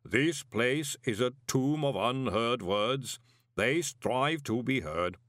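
A man voicing a huge male clay golem who speaks slowly in a booming, unshakably calm way. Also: dry recording, studio quality. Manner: slow, booming, infuriatingly calm.

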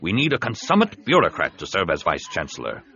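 Man narrator as scheming alien politician, audiobook, low voice, measured, persuasive, slightly muffled recording. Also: huge pitch swings, sharp tone, exaggerated voice. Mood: firm, calculating. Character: scheming, soothing, heavyset male alien senator and host.

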